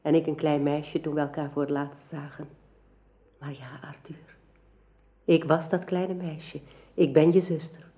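An actress playing a gracious, scheming royal enchantress in a radio drama, speaking formally and deliberately. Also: female voice, deep voice, steady tone. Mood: gracious, inviting. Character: courtly enchantress sister of a king, gracious and scheming. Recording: dull, lo-fi radio play recording.

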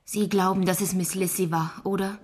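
A woman's voice: lowish voice, steady tone, normal speed, husky. A young-sounding woman playing an earnest, loyal, politely stubborn teenage girl in a German radio drama. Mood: pleading, indignant.

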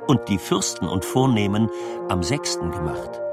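Male: solemn political reciter, lowish pitch, deliberate fragmented phrasing, music loud behind him. Experimental radio play. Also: very animated. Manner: slow, deliberate, fragmented declamation. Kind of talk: declaimed text in experimental musical audio drama.

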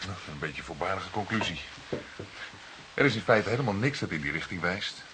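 An actor playing a blunt, sceptical junior police detective in a crime drama. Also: male voice, low voice, lively intonation, a little fast, bright, forceful tone. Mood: sceptical, impatient. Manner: blunt, doubting. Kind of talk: naturalistic crime drama acting. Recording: noisy, hissy recording with clicks.

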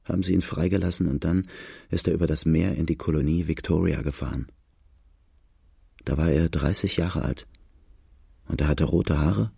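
Deep, gravelly outlaw storyteller. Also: male voice, a little mellow, no room sound, clean studio sound, heavily acted. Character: grave outlaw recounting his hard life. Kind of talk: first-person radio drama narration.

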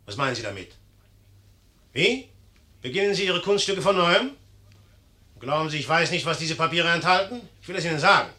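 An actor playing a vain, hot-tempered young general in a theatrical 1950s German radio play style, moving between condescension and bluster. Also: male voice, a little high, expressive, brisk, pauses often, pushed and bright. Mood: irritated, suspicious.

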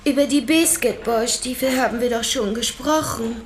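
An overwrought woman under questioning in a radio play, acted by an actress. She speaks melodramatically in a rather high voice. There is faint noise behind her. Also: normal speed, lightly acted. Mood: disappointed. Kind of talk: radio drama acting.

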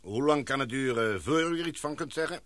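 An actor playing an older titled gentleman in a Dutch radio play, courteous, composed and used to taking command. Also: medium pitch, expressive, brisk, no room sound, lightly acted. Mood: composed, probing.

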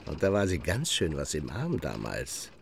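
Gossipy, swooping gentleman. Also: extremely low pitch, wildly swooping pitch, measured pace, creaky, a little hiss or hum, exaggerated voice. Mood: nostalgic, chuckling. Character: gossipy, condescending middle-aged gentleman reminiscing. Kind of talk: radio drama dialogue.

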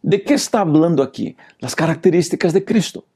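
Gravelly pastor. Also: male voice, fairly high pitch, animated, fairly quick, a little mellow. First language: Portuguese. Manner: preachy, repeated rhetorical questions. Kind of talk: Bible lesson commentary.

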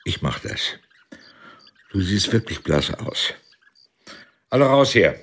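An actor playing a wry, theatrical but loyal villager in a radio drama. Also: male voice, low voice, big pitch swings, slow pace, fairly bright tone, breathy edge, full character voice.

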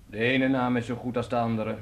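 An actor playing a guarded, middle-aged or older Spanish innkeeper in a radio drama, terse and evasive. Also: male voice, mid-range voice, flat delivery, a little fast, close to an everyday voice. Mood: curt, evasive.

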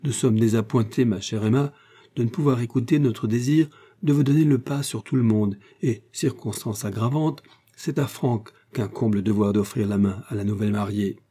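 A man reading aloud, voicing a gentle middle-aged hostess who speaks politely and apologetically. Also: medium pitch, moderate pace, slightly rough, natural voice. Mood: apologetic.

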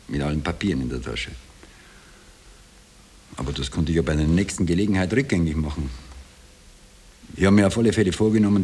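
A man's voice: sits low, a little mellow, lightly acted. An actor playing a haunted Austrian former corporal, telling his wartime imposture in colloquial dialect in a 1960s radio drama. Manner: colloquial dialect storytelling, broken into short phrases. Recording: hissy old radio tape.

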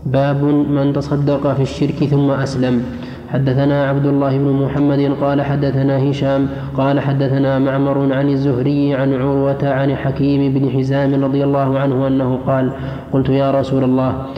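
A man formally reading classical religious texts aloud to a teacher, with measured, careful enunciation. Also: medium pitch, completely flat delivery. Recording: muffled, echoey hall, faint noise.

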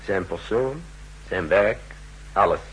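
An actor playing a cold, commanding secret police chief in a 1960s radio drama, speaking curtly and with authority. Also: male voice, deep voice, slow pace, fairly bright tone, husky, exaggerated voice. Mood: cool, businesslike. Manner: curt, authoritative, clipped orders. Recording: noisy 1960s radio recording with hum.

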